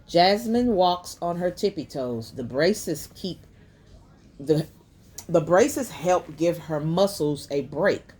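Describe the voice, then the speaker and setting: A woman voicing a gentle, patient mother explaining things in a children's storybook. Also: on the low side, expressive, slow, breathy edge, full character voice. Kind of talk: storybook character voice.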